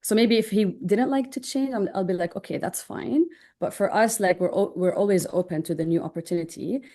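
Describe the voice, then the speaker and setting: A woman's voice: mid-range voice, fairly quick, mellow. A woman in her thirties from Jordan, answering interview questions in an upbeat, informal, chatty way. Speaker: interview guest, online business owner.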